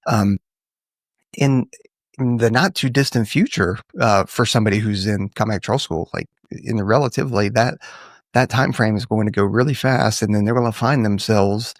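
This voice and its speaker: A man hosting a casual interview podcast, talking in a relaxed conversational style. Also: lowish voice, no echo, studio quality. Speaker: podcast host.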